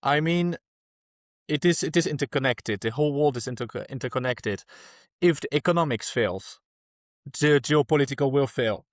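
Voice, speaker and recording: Man, from France, earnest, restarts sentences, mid pitch, dry close mic. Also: normal speed, no room sound. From France (Alsace). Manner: earnest, searching for words, frequent restarts. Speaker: guest activist. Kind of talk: podcast interview answers.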